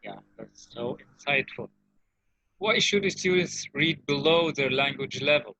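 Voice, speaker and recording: A man's voice: mid-range voice, lively intonation, unhurried, creaky, sharp tone, poor audio. A man interviewing someone in a conversational way, asking short questions and responding to the answers.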